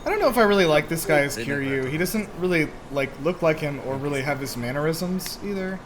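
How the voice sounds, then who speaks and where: Animated higher-voiced man, casual and joking. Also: a little fast, a crowd in the background. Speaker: movie reaction show host. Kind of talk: casual movie reaction commentary.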